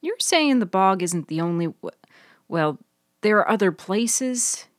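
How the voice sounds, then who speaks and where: A woman's voice: medium pitch, very animated, unhurried, no echo, clean studio sound. A woman voicing an anxious, earnest woman in story dialogue, insistent and searching for her words. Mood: surprised, searching. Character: Maddie, anxious, earnest woman who loves the bog. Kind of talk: dramatised audiobook dialogue.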